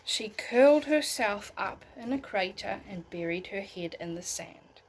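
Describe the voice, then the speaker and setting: A woman reading a bedtime story aloud in an expressive way, as if talking to young listeners. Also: medium pitch, unhurried, a little hiss or hum, low-quality recording. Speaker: storybook reader.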